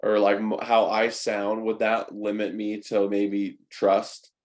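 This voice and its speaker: A man's voice: fairly low pitch, monotone, slow, a little mellow. A man hosting an interview in a casual, chatty way as a native English speaker. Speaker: interviewer, host. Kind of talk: casual chat.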